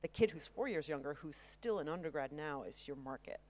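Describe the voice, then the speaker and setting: A woman panelist in ed tech, speaking with quick, self-deprecating humor into a handheld mic on a conference stage. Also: low-pitched, expressive, darkish tone.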